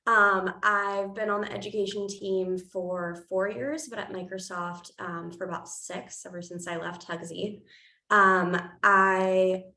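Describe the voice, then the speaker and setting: A woman researcher introducing herself on a panel, upbeat and filling pauses with "um"s. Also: mid-range voice, fairly even intonation, bright, forceful tone. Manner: upbeat, lots of "um"s. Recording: echoey room.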